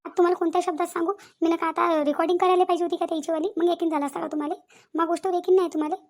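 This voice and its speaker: A woman's voice: very high-pitched, fairly even intonation, very fast, a little mellow. A woman voicing an aggrieved young daughter-in-law in a cartoon family drama, insistently repeating herself. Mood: indignant. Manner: repeats phrases insistently, rhetorical questions. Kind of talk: Marathi cartoon family comedy-drama acting.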